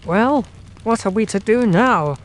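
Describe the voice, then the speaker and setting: Man putting on a high-voiced, grumbling police inspector. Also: animated, measured pace, a little hiss or hum. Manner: gruff, grumbling. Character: gruff, impatient, sceptical male police inspector. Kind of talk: dramatised audiobook reading.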